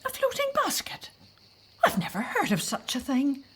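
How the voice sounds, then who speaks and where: Scared mom in a bible audio drama, higher voice, really expressive, hushed and unsure. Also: female voice, moderate pace, exaggerated voice. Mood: doubtful, relenting. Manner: hesitant, anxious, often hushed. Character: frightened Hebrew mother, wife pleading with husband at night.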